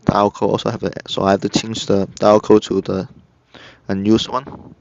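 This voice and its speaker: A man with a fairly low voice gives step-by-step software instructions. He speaks in short, halting phrases. The recording is dull and lo-fi, with very little room sound. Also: level tone, vocal fry, no room sound. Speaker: software tutorial narrator. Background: screen-recorded tutorial voice-over.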